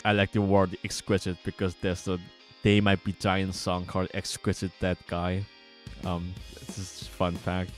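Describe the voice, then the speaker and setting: Low-pitched man reading over guitar music. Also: completely flat delivery, moderate pace. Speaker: streamer and visual novel developer reading own game aloud. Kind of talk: narration read aloud with casual asides. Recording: music bed behind voice.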